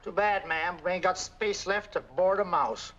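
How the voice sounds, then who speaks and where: An actor playing a crotchety, stingy small-town innkeeper with a rural American accent, grumbling and curt in 1940s film style. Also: male voice, high voice, normal speed, fairly bright tone. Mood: curt refusal. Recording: muffled, noisy old film soundtrack.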